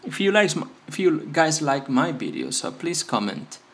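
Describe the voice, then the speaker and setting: Halting, man hosting a tutorial. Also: on the high side, sing-song. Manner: hesitant, halting, self-effacing non-native English. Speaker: repair tutorial host. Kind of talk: casual hands-on tutorial talk to viewers.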